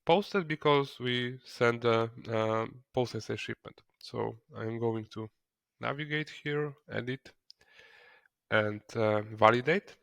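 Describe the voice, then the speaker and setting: Guy doing a software tutorial, kinda hesitant, repeats words, medium pitch, dry sound. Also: slow, creaky, no room sound. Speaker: software trainer. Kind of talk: screen-recorded software tutorial, explaining step by step.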